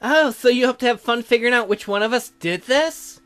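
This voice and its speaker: A man's voice: very high voice, a little fast, fairly bright tone, clean tone. A man voicing a condescending, sardonic castle magician in a visual novel, haughty and scornful as he explains things. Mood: scornful explaining.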